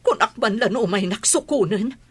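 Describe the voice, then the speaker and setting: A woman's voice: on the high side, lively intonation, normal speed, husky. An actress playing a defensive middle-aged mother hooked on gambling, in a radio drama. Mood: indignant, evasive.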